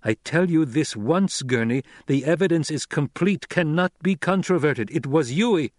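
A man's voice: on the high side, lively intonation, normal speed, dry recording, studio quality, pitched above the performer's own voice, lightly acted. A man playing an intense, commanding young nobleman. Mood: stern, threatening. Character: commanding young nobleman, deadly controlled, fiercely loyal son. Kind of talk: audiobook character acting.

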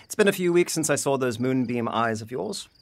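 An actor playing a weary widowed father in a period audio drama, speaking earnestly and haltingly in formal phrasing. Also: mid-range voice, lively intonation, lightly acted. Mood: stern, weary.

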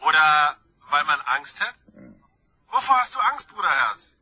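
A sly, freeloading brother in a radio crime drama. His voice is highish and very sharp, with a husky edge. He taunts theatrically, with lively pitch and mock sweetness. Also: measured pace, exaggerated voice. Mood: mocking, needling. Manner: mocking, theatrical, falsely sweet.

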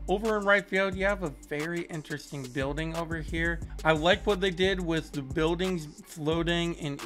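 A man's voice: a little high, a bit bright. A young man in his twenties giving casual, upbeat commentary as he tours custom stadiums in a video game, recorded at a desk. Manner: upbeat, enthusiastic, praising. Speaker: gaming video creator. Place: small indoor room, webcam corner.

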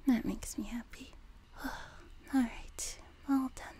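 A woman playing a sweet, nurturing young demon girl in an ASMR roleplay, speaking in a soft, breathy whisper with a gentle, reassuring manner. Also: sits high, steady tone. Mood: tender, gently teasing. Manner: gentle, reassuring, slow and unhurried. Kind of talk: whispered ASMR roleplay, intimate personal attention. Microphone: close binaural microphone. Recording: noticeable background noise, close binaural mic.

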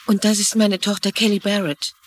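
A courteous, grieving middle-aged widow played by an actress in a dubbed TV drama. Her voice is medium in pitch and subdued. Faint noise is heard behind her. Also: level tone, a little fast, lightly acted. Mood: courteous, sorrowful. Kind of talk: dubbed TV drama dialogue in German.

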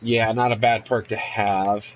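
A man's voice: on the low side, level tone, measured pace, dull audio. A young man with a congested voice from a cold, chatting casually and rambling through a let's play from a room at home. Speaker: gaming YouTuber.

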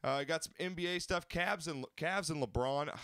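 A man in his thirties hosting a sports talk show in a small home studio, loud and excitable, a bit stuffed up from a cold. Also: fairly high pitch, fairly quick, smooth, no echo. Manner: loud, excitable, stretches words. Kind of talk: loud casual sports radio banter. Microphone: studio mic on boom arm, headphones.

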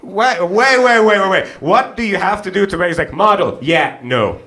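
A man's voice: high voice, expressive, bright, forceful tone. A young man doing stand-up crowd work on a comedy club stage, teasing the audience into a handheld mic. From half Hungarian, half South African. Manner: teasing, quick banter with audience, frequent laughs. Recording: live club with audience laughter.

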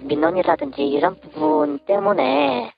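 A woman's voice: sits high, a little slow, vocal fry. A woman speaking hesitantly as customer service staff in a muffled phone conversation. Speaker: insurance consumer centre staff. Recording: muffled phone call, faint music.

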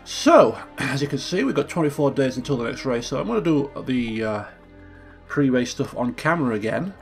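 Male let's play host, mid-pitched and gravelly, croaky and chatty, thinking aloud. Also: expressive, normal speed, soft background music. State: croaky from just waking up. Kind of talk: casual gameplay commentary.

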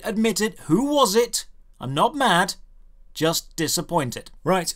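A man hosting a scripted comedic game review, with a dry, sardonic and emphatic delivery. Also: on the high side. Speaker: video host, game reviewer.

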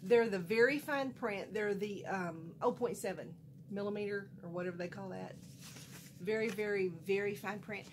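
A woman's voice: medium pitch, normal speed, noisy background. Chatty woman with a cold. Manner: folksy, animated, chatty, wanders off mid-sentence. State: has a cold, a little nasal. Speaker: haul vlogger. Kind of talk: casual chatty haul vlog. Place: small room at home, indoors.

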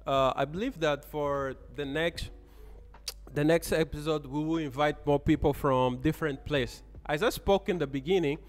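A young man hosts a casual podcast from a café, speaking into a boom-mounted studio mic. His voice sits a little high for a man, and he laughs often between phrases. The room adds a long reverb tail, with faint music underneath. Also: moderate pace. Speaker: podcast host. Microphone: studio mic on boom arm.

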